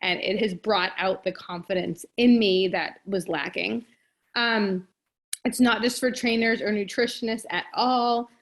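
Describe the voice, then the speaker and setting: A woman's voice: medium pitch, fairly even intonation, a little slow. A woman fitness coach reading through presentation slides and adding her own comments, with frequent ums.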